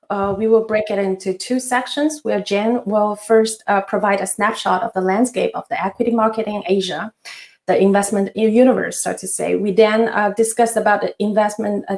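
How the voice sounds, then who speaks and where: A woman's voice: mid-range voice, a little mellow. A woman hosting a finance webinar, giving a polite, partly scripted introduction with some hesitations. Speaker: webinar host. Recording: roomy echo.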